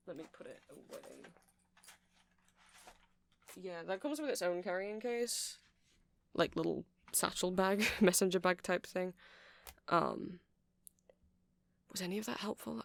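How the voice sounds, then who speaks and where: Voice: mid-range voice, big pitch swings, moderate pace, creaky, no room sound. A performer of unclear sex playing a nerdy, eager, apologetic music-shop clerk in an ASMR roleplay, hesitant and rambling. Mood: chatty, sheepish. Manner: hesitant, rambling, self-deprecating, nervous little laughs.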